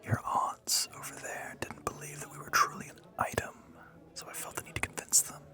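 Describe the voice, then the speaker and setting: A man voicing an ancient, suave vampire in an intimate romantic audio roleplay, teasing and possessive, with a breathy whisper and formal, condescending phrasing. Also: brisk, pushed and bright. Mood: teasing, amused.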